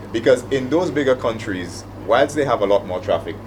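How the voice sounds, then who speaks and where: A man's voice: medium pitch, lively intonation, moderate pace, background noise. A middle-aged man with a Saint Lucian accent, talking reflectively and conversationally as a panelist. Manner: conversational, anecdotal. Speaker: panelist, aviation operations manager. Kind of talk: reflective panel talk with anecdotes.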